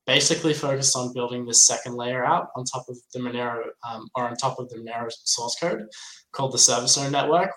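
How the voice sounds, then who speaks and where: An Australian man speaks as an interview guest, explaining things at a medium pitch. His voice comes through with a clearly roomy echo, as on a remote call from indoors. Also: bright, forceful tone. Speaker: guest, software project developer. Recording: roomy, echoey sound.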